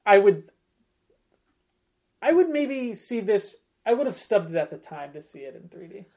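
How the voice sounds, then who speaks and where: A man in a relaxed podcast conversation, presenting to the others. His delivery is halting, often circling back and restarting his thought partway through. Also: high-pitched. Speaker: podcast panelist presenting film trailers. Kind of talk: casual chat.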